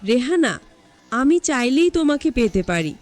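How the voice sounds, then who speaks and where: A woman's voice: sits high, big pitch swings, a little slow, darkish tone, soft background music. A woman voicing a pushy, flirtatious bachelor of about thirty in a narrated story, coaxing and insistent.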